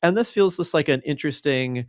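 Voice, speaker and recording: A man's voice: a little high, lively intonation, fairly quick, no echo. Tactful man podcaster in a dry room. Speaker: podcast co-host. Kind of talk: casual podcast discussion.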